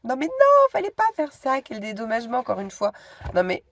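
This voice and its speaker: A woman's voice: a little high, wildly swooping pitch, fairly quick, a bit bright, a little hiss or hum. Chatty woman vlogging with a cold. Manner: chatty, full of hesitations and asides. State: stuffy with a cold. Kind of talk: casual chat to camera. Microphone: phone.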